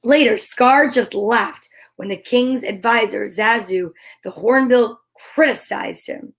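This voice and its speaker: A woman hosting a story time, reading a children's tale dramatically with comic asides in a theatrical manner. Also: fairly high pitch. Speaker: host and storyteller.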